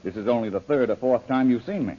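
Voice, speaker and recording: Man acting a husky, blunt radio adventure hero. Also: medium pitch, fast talker, natural voice. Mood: skeptical. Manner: wry, blunt, folksy slang. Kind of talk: old-time radio serial acting. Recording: dull, lo-fi old radio recording.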